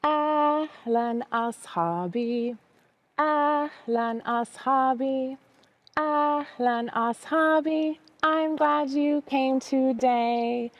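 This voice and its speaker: A woman's voice: fairly high pitch, dry recording. Upbeat woman hosting a children's storytime. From United States. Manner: upbeat, speaks slowly to young listeners, mixes Arabic words into English. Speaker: storytime host teaching Arabic.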